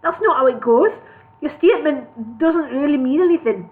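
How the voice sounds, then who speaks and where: A woman's voice: fairly high pitch, lively intonation, normal speed, narrow, tinny audio, pitched above the performer's own voice, lightly acted. A woman voicing an awkward young male hobbit with a northern English accent, speaking hesitantly. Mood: puzzled.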